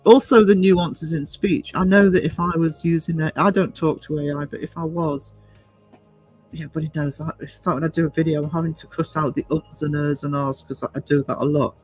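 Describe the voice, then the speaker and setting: The fifty-something man running an online panel stream, slightly high voice, musing haltingly between viewer comments over soft background music. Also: fairly even intonation, breathy edge, poor audio. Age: 50s. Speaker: livestream host reading viewer comments. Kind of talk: casual discussion.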